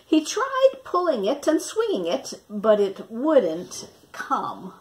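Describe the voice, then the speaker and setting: A woman reading a children's picture book aloud expressively, with dramatic pauses and questions for young listeners, like a teacher. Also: a little high, huge pitch swings, darkish tone, slight background noise.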